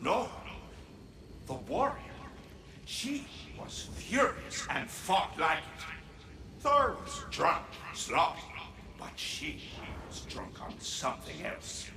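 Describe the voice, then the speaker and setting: An earnest male spirit in a game drama, his highish voice swinging dramatically through slow, halting phrases. The sound is echoing, with music behind it. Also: very animated, noisy background, heavily acted. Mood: awed, intense. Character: earnest, lovelorn male spirit, awed storyteller. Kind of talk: video game drama acting.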